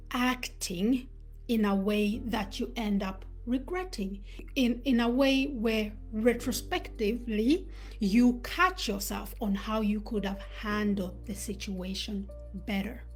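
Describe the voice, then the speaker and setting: A woman who was born in Uganda and raised in Sweden, hosting a live talk on self-awareness and telling personal stories in a reflective, unhurried way. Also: on the high side, slightly rough, faint music behind. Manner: reflective, storytelling with pauses. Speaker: host.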